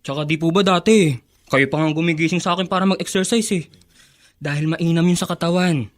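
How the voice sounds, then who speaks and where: An actor playing a cheerful, coaxing young Filipino grandson in a radio drama. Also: male voice, fairly high pitch, a little fast, close to an everyday voice. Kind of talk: Tagalog radio drama acting.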